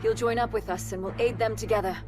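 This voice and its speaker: Woman as a frank, higher-voiced young warrior. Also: steady tone, fast, over music, natural voice. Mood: concerned, urgent. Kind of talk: video game cutscene voice acting.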